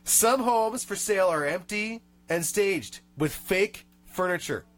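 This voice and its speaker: A man's voice: high-pitched, a little slow, fairly bright tone, faint music behind. A man hosting a radio show in a studio, nasal from a cold, chatting and reading tips aloud with small rants. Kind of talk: casual radio chat.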